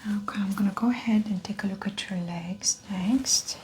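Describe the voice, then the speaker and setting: A woman whispering softly in an ASMR medical exam roleplay, calmly talking through each step of the check. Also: medium pitch, moderate pace, slight background noise.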